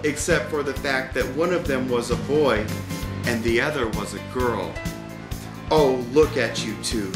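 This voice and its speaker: A man reading a children's story as scripted narration, with a wry, deliberate delivery. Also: mid-range voice. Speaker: audiobook reader. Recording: roomy sound with music behind.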